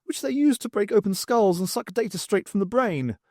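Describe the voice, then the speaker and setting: A man's voice: high-pitched, expressive, brisk, darkish tone, dry recording, studio quality, voice pushed up. A man voicing a giggly academic who relishes gruesome details in an audiobook. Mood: gleeful.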